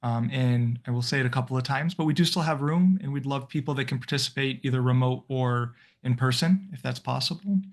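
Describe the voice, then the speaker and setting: A man's voice: medium pitch, fairly quick, hardly any pauses. Male volunteer coordinator on a video call; casual, loose, lots of fillers, middle voice. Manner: friendly, rambling with fillers and restarts.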